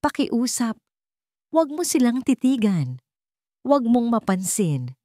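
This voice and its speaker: A woman's voice: on the high side, very animated, measured pace, mellow, no room sound, studio quality, pitched above the performer's own voice. A woman with a Filipino accent voicing an anxious, pleading young mother in an audio love story. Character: frightened, apologetic young mother guarding her secret.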